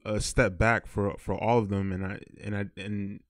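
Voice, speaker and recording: Lower-voiced man chatting on a podcast. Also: little pitch movement, normal speed, no room sound, studio quality. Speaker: podcast co-host. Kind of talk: casual opinionated chat.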